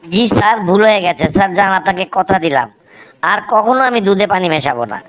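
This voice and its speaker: A cheeky cartoon milkman protests stubbornly, half pleading and half insistent, as voiced by a voice actor. His delivery rises and falls in lively swings. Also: medium pitch, fast, lightly acted. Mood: defensive. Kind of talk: cartoon comedy dubbing.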